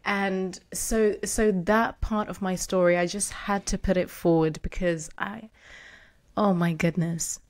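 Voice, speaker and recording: A young woman from Zimbabwe, a guest in an interview, telling her family story in a warm, conversational way with easy laughter. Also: medium pitch, steady tone, a little slow.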